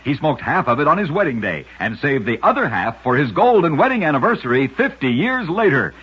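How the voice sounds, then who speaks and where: A man's voice: on the high side, very animated, brisk, slightly rough. A man narrating short scripted oddity stories as a radio host, with a dramatic storytelling delivery. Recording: muffled vintage radio recording.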